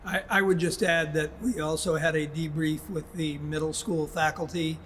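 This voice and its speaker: Man, slightly high-pitched, school official, formal public statement, measured and halting, faint background noise. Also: little pitch movement, fairly bright tone. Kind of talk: public address, prepared statement spoken haltingly.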